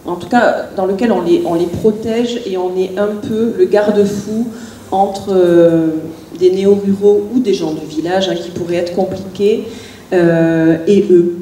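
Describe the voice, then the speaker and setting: Soft-toned woman mayor in echoey hall. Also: medium pitch, far from the mic, a little hiss or hum. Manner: hesitant, many restarts and fillers. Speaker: village mayor. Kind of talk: panel discussion answer.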